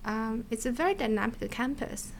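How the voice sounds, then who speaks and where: A woman's voice: fairly high pitch, lively intonation, moderate pace, creaky, slight background noise. A middle-aged woman from China, a scientist chatting casually in an interview, laughing often and repeating words. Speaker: scientist and podcast guest. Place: her office. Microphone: desk mic.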